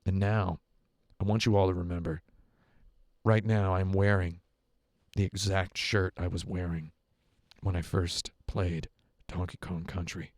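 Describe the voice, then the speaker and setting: A man hosting a casual live game stream, talking with playful, deadpan asides. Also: low voice, a little slow, pauses often, no room sound, clean studio sound.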